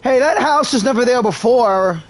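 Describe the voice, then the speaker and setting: A man's voice: extremely high pitch, expressive, normal speed, soft background music. A man doing livestream game commentary and voicing along as a character. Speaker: game streamer.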